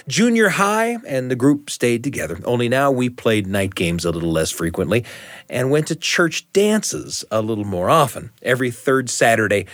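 A man's voice: on the low side, no echo, clean studio sound. A man hosting, telling a nostalgic personal story in a reflective way. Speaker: host. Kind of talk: storytelling monologue.

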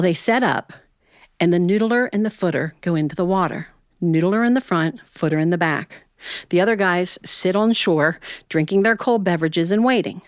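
An American woman storyteller from Ohio telling a tale live to listeners, in an animated, folksy way with dramatic pauses and asides. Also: mid-range voice, no room sound, clean studio sound. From Ohio, United States.

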